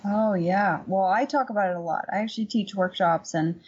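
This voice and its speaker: A woman with a husky voice giving casual, conversational answers as an interview guest. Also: medium pitch, fairly quick, a little mellow, dull audio, a little hiss or hum. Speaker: podcast guest, painter and workshop teacher.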